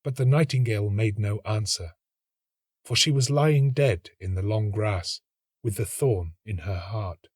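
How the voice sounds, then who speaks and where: A man reading a literary story as an actor would, in a measured, dramatic and expressive narrating style. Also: lowish voice, slightly rough, no echo, studio quality.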